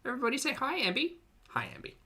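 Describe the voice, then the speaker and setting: A man's voice: very high-pitched, sing-song, rapid-fire, sharp tone, slightly rough. A man hosting a reaction stream, chatting casually to his live audience with dry, teasing sarcasm. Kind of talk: casual live commentary to a chat.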